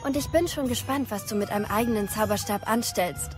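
A woman's voice: mid-range voice, fairly quick, music in the background, natural voice. An actress playing a friendly, eager teenage schoolgirl witch showing a newcomer around, upbeat and welcoming, in a German audio drama.

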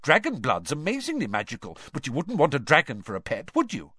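A man voicing a clever, prim teenage girl who talks briskly and sounds exasperated. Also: a little high, wildly swooping pitch, bright, forceful tone, breathy edge, dry recording, clean studio sound, voice pushed up, exaggerated voice.

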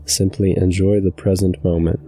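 A man guiding a meditation from a script in a calm, measured, soothing way. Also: low-pitched. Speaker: meditation guide, channel host. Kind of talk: scripted guided meditation narration.

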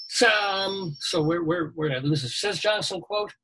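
A man's voice: high voice, a bit bright, slight background noise, low-quality recording. A middle-aged man hosting a weekly radio show, reading odd news items and cracking jokes. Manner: jokey, reads news items with quips. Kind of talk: comedic news chat.

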